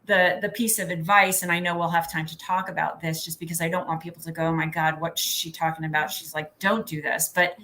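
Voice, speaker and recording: A woman's voice: fairly low pitch, flat delivery, fairly quick, few pauses, pushed and bright. A woman on a panel, earnestly giving encouraging career advice. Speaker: panel guest.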